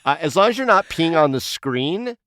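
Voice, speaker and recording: A man's voice: a little high, very animated, moderate pace, a bit bright, no echo, clean studio sound. A man hosting a podcast in a casual, joking, playful way with his fellow panelists. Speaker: podcast host. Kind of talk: casual panel chat and show sign-off.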